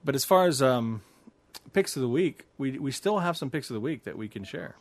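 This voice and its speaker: A man's voice: mid-range voice, lively intonation, fairly quick. A man hosting a podcast in casual, playful chat, teasing his co-host and laughing easily.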